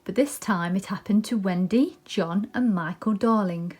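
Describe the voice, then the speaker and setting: A woman's voice: medium pitch, moderate pace, a little mellow, a little hiss or hum. A woman narrating a children's storybook expressively. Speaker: storybook reader.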